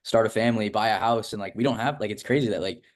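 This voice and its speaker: A young man hosts a casual interview. His voice sits a little low and he talks very quickly, rambling informally and leaning on filler words as he goes. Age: young adult. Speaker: host interviewing a student of a business academy.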